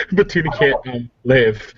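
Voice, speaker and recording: A man's voice: mid-range voice, expressive, slow pace, fairly bright tone, husky, no room sound, highs cut off. A man chatting casually as a player in a tabletop roleplaying game.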